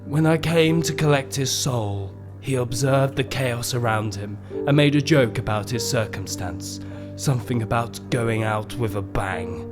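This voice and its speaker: An actor playing a tall, gaunt Death, a courteous and eerie collector of souls who speaks slowly and formally, in a gothic comic radio play. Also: male voice, medium pitch, close to an everyday voice. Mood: calm, matter-of-fact. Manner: slow, deliberate, formal storytelling. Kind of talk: gothic comic audio drama acting. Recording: music bed behind the voice.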